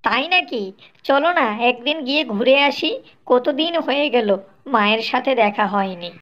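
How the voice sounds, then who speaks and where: A woman voicing a sharp-tongued, exasperated young village wife in a Bengali cartoon, scolding and sarcastic. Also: on the high side, animated, moderate pace, highs cut off. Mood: insistent.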